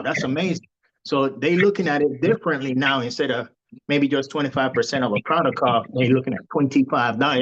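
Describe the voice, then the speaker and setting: Man, casual sales tips, rambling and repetitive, muffled call audio. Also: mid-range voice. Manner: relaxed, rambling, repeats words. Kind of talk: casual advice sharing.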